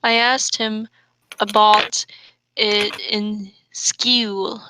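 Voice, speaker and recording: A young woman reading bad fan fiction aloud for comedy, deliberately, with casual banter in between. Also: mid-range voice, level tone, sharp tone, dry recording, rough recording. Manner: deliberate, stumbles over misspellings read as written. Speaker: host and reader.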